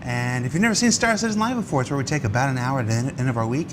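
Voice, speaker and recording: A man's voice: mid-range voice, rapid-fire, noisy background. A man hosting a conversational talk show, explaining things and putting questions to guests. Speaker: show host.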